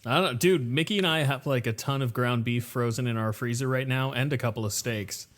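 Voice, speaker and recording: A man's voice: medium pitch, fairly quick, fairly bright tone. A man co-hosting a podcast, adding dry teasing quips in casual chat. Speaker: podcast co-host.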